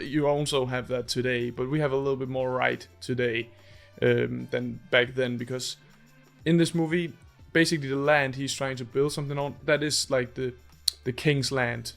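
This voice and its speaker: A man's voice: mid-range voice, gravelly. A young man from Denmark with a Danish accent, rambling through a casual movie review, often stopping mid-sentence to hunt for the English word. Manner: rambling, searches for English words, many restarts. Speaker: host.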